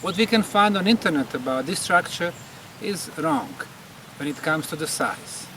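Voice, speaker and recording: A man from Bosnia giving an emphatic on-location explanation as a presenter, in deliberate short phrases. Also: fairly high pitch, expressive. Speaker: presenter, pyramid researcher. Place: outdoors at a temple site. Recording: distant mic, clear outdoor background noise.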